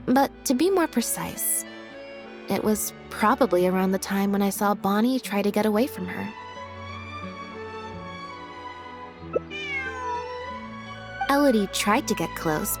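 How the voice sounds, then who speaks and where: Game role: actress as composed, understated young woman, dry measured delivery, mid-range pitch. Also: lightly acted. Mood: thoughtful. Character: calm, understated young woman, a magician's assistant. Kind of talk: video game voice acting.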